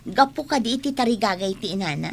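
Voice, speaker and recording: A woman's voice: mid-range voice, lively intonation, a little fast, vocal fry, slight background noise. A woman playing an envious, argumentative young classmate in a radio drama, challenging and complaining as she talks. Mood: challenging, scornful.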